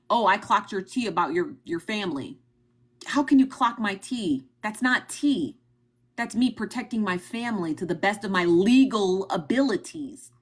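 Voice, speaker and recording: A woman with a Midwestern accent hosting a live stream, talking emphatically and directly to her viewers and repeating her points. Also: mid-range voice. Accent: Midwestern American. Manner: emphatic, repetitive, talks straight to her viewers. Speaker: livestream host. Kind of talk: casual livestream commentary.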